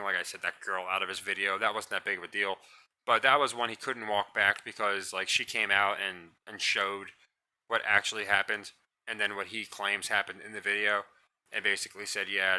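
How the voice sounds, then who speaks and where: A man ranting in a blunt, scornful way in a solo commentary, rambling and restarting his sentences. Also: on the low side. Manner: describes own voice as monotonous. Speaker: commentary channel host.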